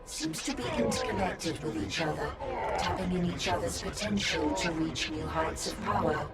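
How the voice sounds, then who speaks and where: An actor voicing an inhuman hive-mind creature in a video game, coldly polite and formal, speaking as a collective, with a gravelly, echo-processed voice. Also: mid-range voice, moderate pace. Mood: coldly boastful. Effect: echoing monster voice processing. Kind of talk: video game villain acting. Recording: echoing, distant, under loud music.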